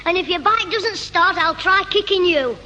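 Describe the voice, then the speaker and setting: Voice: very high voice, highs cut off, loud music behind the voice. An actor playing a cheeky, bossy schoolboy with a Northern English accent in a 1960s radio comedy. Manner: cheeky, quick-retorting. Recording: noisy 1960s radio recording.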